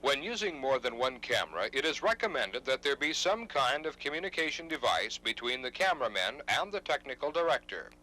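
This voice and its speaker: Bright-voiced man narrating an instructional tape. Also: mid-range voice, very animated, brisk, few pauses, poor audio. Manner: formal, precise, instructional. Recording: 1970s instructional videotape narration.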